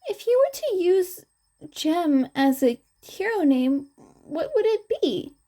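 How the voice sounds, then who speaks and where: Female reader as curious teen boy student, fan fiction audio; high-pitched, chirpy, earnest. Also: sing-song, slow pace, mellow, heavily acted. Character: bright, eager, curious teenage boy hero student.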